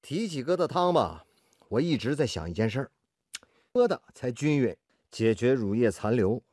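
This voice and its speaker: A man's voice: mid-range voice, animated, normal speed, a little mellow, dry recording, studio quality, lightly acted. A male actor playing a stubborn, inventive steel-mill technician and mentor in a Chinese radio drama. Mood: keen, explanatory.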